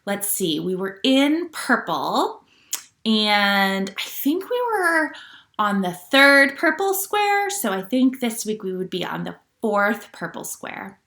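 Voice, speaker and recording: A woman with a very bright, clear-edged voice leads storytime for young children. She speaks as a gentle teacher would, putting questions to her listeners and reading aloud at a mid-range pitch. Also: very animated, takes it slowly, echoey room. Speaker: children's church storytime leader. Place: at home.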